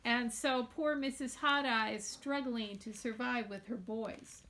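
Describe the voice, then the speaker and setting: A woman reading a children's novel aloud in a deliberate, expressive storytelling manner, like a teacher with a class book. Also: a little high, rough recording.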